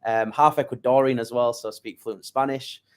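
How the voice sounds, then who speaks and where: A man's voice: medium pitch, fairly even intonation, moderate pace, clean tone. A young man with a northern English accent, answering interview questions in a chatty way that keeps tagging on "right?". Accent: northern English, Yorkshire. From northern England. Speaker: guest, business owner.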